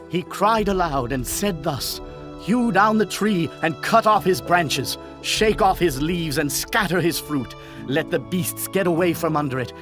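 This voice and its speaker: An actor playing a proud ancient king, speaking in an archaic, declamatory way over a music bed. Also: a little high. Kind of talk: dramatized scripture reading. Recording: clear music bed behind.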